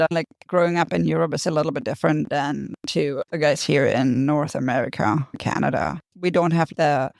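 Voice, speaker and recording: Gravelly woman guest. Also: sits low, darkish tone, no echo, studio quality. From Germany. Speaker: guest, pastry chef. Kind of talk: casual podcast interview.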